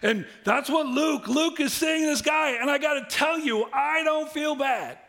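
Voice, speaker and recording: A middle-aged male pastor giving a sermon, conversational and humorous, swinging from quiet asides to loud bursts. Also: very high-pitched, normal speed, gravelly. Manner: humorous, conversational preaching with big swings in loudness.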